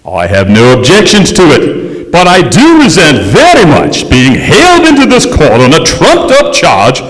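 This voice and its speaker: A man's voice: a little high, huge pitch swings, normal speed. Man playing a haughty English lord's ghost, swooping, echoey. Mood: indignant. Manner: pompous, grandly formal. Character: haughty, indignant centuries-old English lord ghost. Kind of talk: old-time radio stage acting. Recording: echoey hall, stage performance.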